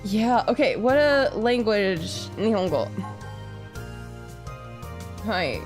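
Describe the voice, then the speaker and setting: A woman's voice: medium pitch, very animated, slow pace. A woman streaming a game with casual, exclamatory live commentary, often repeating her phrases. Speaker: streamer playing a visual novel. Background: game music.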